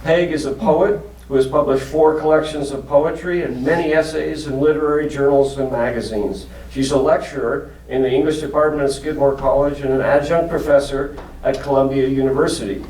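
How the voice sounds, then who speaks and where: A man's voice: mid-range voice, fairly even intonation, brisk, few pauses, darkish tone. Guy hosting, reads a formal intro, mic far away, lots of room echo. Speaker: host of a writers institute event. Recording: roomy, distant mic in a hall.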